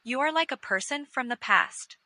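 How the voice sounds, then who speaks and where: A woman's voice: high voice, animated, moderate pace. A woman playing a secretive teenage girl on a phone call in a scripted audio novel, with music behind her. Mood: friendly, evasive. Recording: loud music under the voice.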